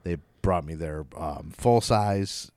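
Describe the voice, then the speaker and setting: A man's voice: low voice, slow, darkish tone, dry recording, clean studio sound. A middle-aged man hosting a podcast, talking about music in a casual, rambling, enthusiastic way with the odd swear word. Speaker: podcast host.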